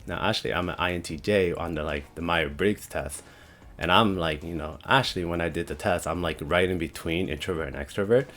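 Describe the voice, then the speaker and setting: A young man talking casually to the camera about starting a channel, with a deep, monotone voice, rambling with lots of "like" and "you know". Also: a little fast. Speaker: YouTube creator. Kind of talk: casual talking-head vlog.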